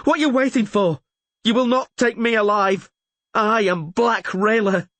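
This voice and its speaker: A man voicing a fierce, defiant female mercenary warrior in an audiobook story, with forceful, shouted delivery. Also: fairly high pitch, normal speed, slightly rough, dry recording, pitched above the performer's own voice. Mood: savage defiance.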